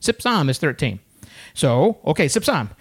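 A man's voice: a little high, normal speed, breathy edge. Guy telling a funny story, voice swoops up and down a lot, does little voices for people. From United States. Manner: animated storyteller who acts out other people's voices. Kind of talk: casual storytelling chat.

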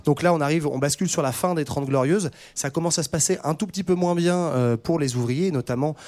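A man's voice: fairly high pitch, a little fast, a little mellow. Chatty man explaining history. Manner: explains at length with frequent fillers and restarts. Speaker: podcast co-host. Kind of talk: casual history discussion.

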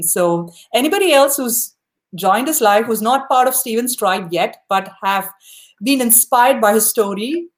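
A woman hosting a live podcast, chatting casually and encouragingly with her guest, often saying "you know". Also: mid-range voice. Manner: upbeat, encouraging, many "you know" fillers. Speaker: podcast host. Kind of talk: casual interview chat.

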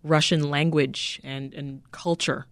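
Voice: medium pitch, little pitch movement, takes it slowly, no echo. A radio interview host asking a guest questions in an attentive, encouraging way.

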